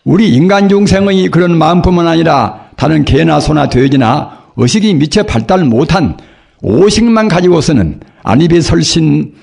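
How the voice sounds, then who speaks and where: Old monk with a cold, gravelly husky voice, lively up-and-down sermon delivery. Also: male voice, a little high. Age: older. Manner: slow, earnest preaching with rhetorical questions. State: hoarse from a cold. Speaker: Buddhist monk giving a dharma talk. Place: temple dharma hall.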